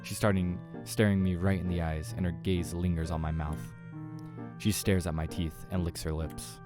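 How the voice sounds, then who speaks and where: A man's voice: sits low, level tone, normal speed, over music. A man reading a visual novel aloud as a gamer, dramatic narration with casual asides.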